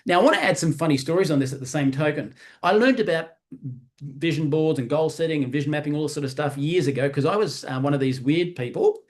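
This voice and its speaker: An Australian man in his fifties giving a motivational training talk in an animated way, often checking in with "right?". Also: a little high, fast talker. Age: 50s. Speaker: sales trainer.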